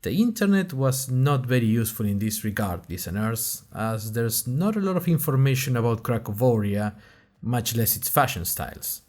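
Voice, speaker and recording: A man's voice: medium pitch, expressive, moderate pace, a little mellow, faint music behind, natural voice. A man playing a wry college freshman who narrates his audio diary in a dry, conversational podcast style with hesitant asides. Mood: self-deprecating. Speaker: podcast host character.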